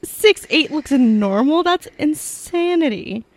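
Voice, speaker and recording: A woman's voice: high-pitched, expressive, takes it slowly, dry recording, rough recording. A woman co-hosting a podcast in casual chat, upbeat and teasing, with frequent restarts. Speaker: podcast co-host.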